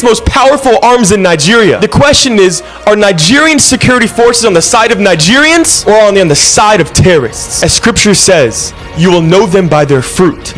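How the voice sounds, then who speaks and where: Urgent, accusing man with a high voice. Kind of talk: impassioned video statement.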